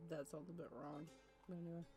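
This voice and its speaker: A woman's voice: low-pitched, flat delivery, quick pace, over music. A woman streamer giving casual, chatty commentary while playing a visual novel.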